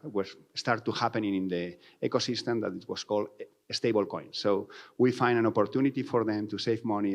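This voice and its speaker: Low-pitched Spanish-accented man presenting. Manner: non-native English with reworded phrases. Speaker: company founder presenting. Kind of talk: conference presentation.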